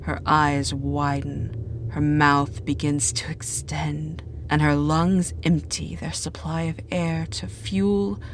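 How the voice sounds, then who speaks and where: A woman narrator reads horror fiction slowly over music. Her voice sits a little low, and her delivery is measured and dramatic. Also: little pitch movement. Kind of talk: scripted horror story narration.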